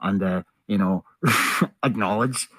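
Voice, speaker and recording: A man's voice: fairly low pitch, takes it slowly, fairly bright tone, husky, no room sound. Man, Scottish accent, ranting commentary, sarcastic, swearing, very dry recording. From Scotland. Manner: profane, sarcastic, full of "right?" and "like". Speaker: commentary YouTuber.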